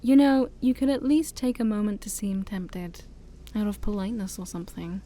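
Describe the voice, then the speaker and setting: A woman playing an ancient demon princess, haughty yet shy, in a soft-spoken ASMR roleplay that often drops to a whisper. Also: mid-range voice, steady tone, moderate pace, natural voice. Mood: defensive, persuasive. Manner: formal, teasing, hesitant, sighing.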